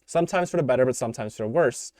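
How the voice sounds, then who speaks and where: A man's voice: medium pitch, animated, rapid-fire, dark tone, no echo, studio quality. A young man hosting a solo podcast, giving his opinions in a rambling way full of fillers and restarts, his voice growing tired. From United States. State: voice tiring. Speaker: podcast host. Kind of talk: unscripted solo commentary.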